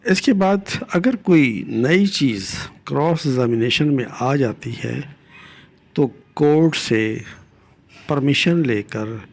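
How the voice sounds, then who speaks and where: An older man with a Pakistani accent gives a deliberate, didactic law lecture, repeating key phrases and switching into English legal terms. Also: medium pitch. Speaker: retired judge giving legal lessons.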